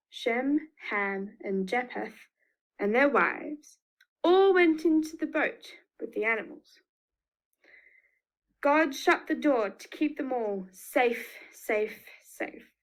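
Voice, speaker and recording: A woman's voice: a little high, lively intonation, takes it slowly, poor audio. A woman with an Australian accent reading a picture book to young children, expressively, with emphatic repetition. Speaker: children's storyteller.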